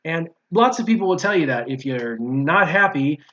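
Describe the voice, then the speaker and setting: Guy w slightly high voice giving opinions on gaming, repeats himself to make the point, kinda muffled lofi audio. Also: expressive, normal speed, fairly bright tone. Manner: emphatic, repeats points for stress. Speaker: guest, former mobile game player. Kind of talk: casual opinionated gaming discussion. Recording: dull lo-fi audio.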